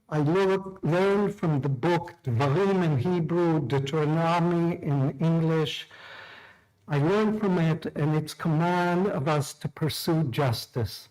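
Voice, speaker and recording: Middle-aged guy from israel giving a careful formal speech, slightly high voice, stumbles a bit now and then. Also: darkish tone, rough recording. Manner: measured, deliberate, with small restarts. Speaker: law professor opening a tribunal.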